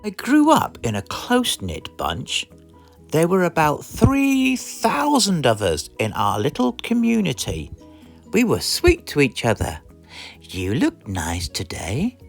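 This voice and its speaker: A British woman reading a children's storybook aloud in an expressive, theatrical way. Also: on the low side, unhurried, gravelly, soft background music. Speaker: storybook reader. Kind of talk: animated scripted storybook narration.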